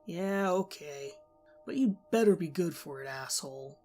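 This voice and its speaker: A proud, broke teenage boy who snaps back in short, defiant bursts, as a woman reading the story puts him on. He sounds clipped and defensive, and soft music drifts faintly behind him. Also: fairly low pitch, lively intonation, unhurried, pitched below the performer's own voice. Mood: grudging. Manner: terse, defiant.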